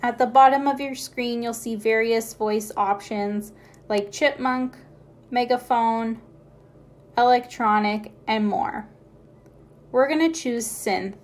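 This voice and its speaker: A woman's voice: fairly high pitch, fairly even intonation, slow. A woman reading scripted how-to narration as a tutorial narrator.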